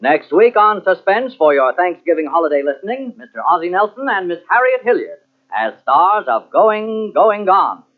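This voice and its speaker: A man's voice: sits high, very animated, normal speed. A man announcing a mid-century radio thriller program in a polished, dramatic manner. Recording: tinny old radio recording, music behind.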